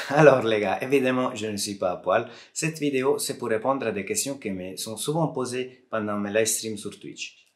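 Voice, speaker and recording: A man's voice: fairly low pitch, normal speed. A man from Italy speaking French with an Italian accent, not his native language, chatting casually to camera as a tech YouTuber, friendly and joking with hesitations.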